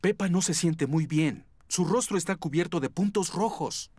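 A man voicing a jolly, easygoing father pig in a children's cartoon, expressive. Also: on the high side, breathy edge, dry recording. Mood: calm. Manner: expressive, playful, drawn-out musing. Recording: music under the voice.

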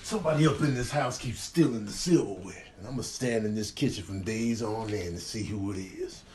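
A man voicing a grumbling, suspicious animal in a comic voiceover, deadpan, slangy and full of swearing. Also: fairly low pitch, a little fast, echoey room, a little hiss or hum, close to an everyday voice. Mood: suspicious, annoyed. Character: grumbling suspicious animal demanding answers from everyone.

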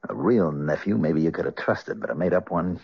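An actor playing a sardonic betrayed husband with wry, hard-boiled delivery in a 1970s radio drama. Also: male voice, deep voice, a little fast, creaky, husky, muffled recording, full character voice. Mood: wry, bitter. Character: bitter, sardonic betrayed husband turning the tables. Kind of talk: 1970s radio drama acting and first-person narration.

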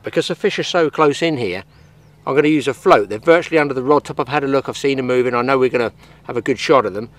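An older man in his sixties talks through a fishing demonstration, recorded outdoors on a grassy lake bank. His voice sits at an ordinary middle pitch, and he is practical and plain-spoken as he works with his hands. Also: fast talker, fairly bright tone. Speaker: fishing instructor.

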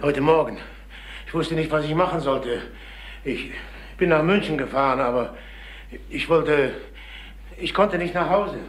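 An actor playing a desperate, middle-aged jobless salesman in a crime radio play, halting and agitated. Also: fairly high pitch, natural voice. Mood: distressed. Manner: halting, agitated, repeating himself. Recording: noisy old radio play recording.

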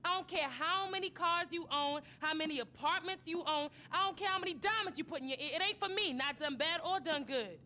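Fed-up young American girlfriend type, game cutscene, blunt reproach, high voice, faint ambience. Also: brisk, few pauses, pushed and bright, a little hiss or hum. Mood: resigned, reproachful. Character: fed-up, firm young woman scolding her ex. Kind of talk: video game cutscene acting.

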